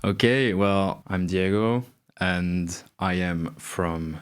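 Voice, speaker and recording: A young man from Belgium and Chile answers interview questions in a very low voice. He talks casually, with lots of fillers and small laughs. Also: slow, clean tone. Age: 20s. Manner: relaxed, giggly, many fillers. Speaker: guest.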